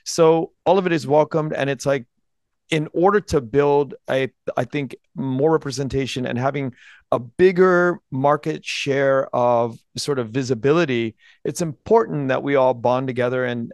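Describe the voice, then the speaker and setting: A man's voice: mid-range voice, darkish tone, dry recording. A man in his forties hosts an interview podcast from a home studio. He speaks earnestly, often restarting his phrases. His voice is close to the mic in a dry, deadened room. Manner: earnest, halting, many restarts. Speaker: podcast host. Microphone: headphones and studio mic close up.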